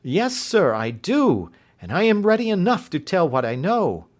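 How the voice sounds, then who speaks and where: A man's voice: high-pitched, sing-song, unhurried, pitched above the performer's own voice. A man voicing a tall, gaunt woman servant who speaks plainly and earnestly.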